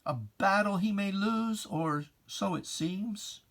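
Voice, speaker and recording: Dramatic poetry reader: unhurried, emphatic and theatrical, with preacherly old-fashioned diction and weighty pauses. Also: mid-range voice, a bit bright. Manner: theatrical, solemn, emphatic declamation with deliberate pauses; archaic preacherly diction, addressing a foe directly. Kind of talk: dramatic poetry reading, scripted and declaimed.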